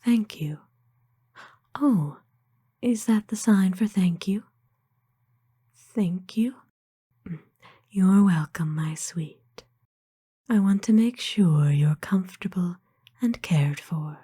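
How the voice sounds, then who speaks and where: A woman playing a kind, aristocratic vampire in a whispered ASMR roleplay, speaking gently and soothingly with formal, old-fashioned phrasing. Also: fairly low pitch, takes it slowly, pauses often, exaggerated voice. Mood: tender, soothing. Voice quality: breathy, hushed whisper. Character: kind, doting, aristocratic female vampire owner.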